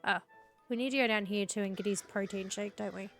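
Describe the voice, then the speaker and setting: A woman's voice: medium pitch, brisk, a bit bright, soft background music. A woman streamer reading game dialogue aloud while playing, a bit sniffly from a cold, talking into a headset. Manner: chatty, reads quest text in character.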